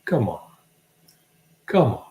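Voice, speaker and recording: A man's voice: takes it slowly, dark tone, reverberant. A man hosting a casual livestream, rambling and joking while he hums to himself and searches for things. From Alabama. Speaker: livestream host.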